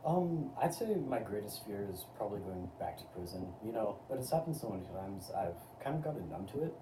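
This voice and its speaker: A man's voice: on the low side, sing-song, fairly quick. A man doing a lazy boastful philosopher. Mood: smug. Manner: laid-back, filler-heavy, boastful. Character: crude boastful male philosopher, slacker drawl. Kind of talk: amateur comedy sketch. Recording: roomy, distant, noisy home recording.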